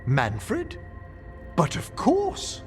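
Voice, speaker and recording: A courteous older gentleman scholar of the dead in a fantasy game. His voice sits a little high and moves expressively up and down. He speaks formally and theatrically, with music underneath. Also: wildly swooping pitch, extremely slow, exaggerated voice. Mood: warmly polite. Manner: formal, polite, precise, theatrical. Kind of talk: video game voice acting. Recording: music behind the voice.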